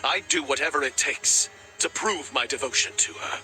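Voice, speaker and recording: A man's voice: mid-range voice, lively intonation, normal speed, lightly acted, poor audio. A man voicing a devoted, reckless raven warrior in a video game, speaking with grand, earnest drama. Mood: fervent, earnest. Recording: background music under the voice.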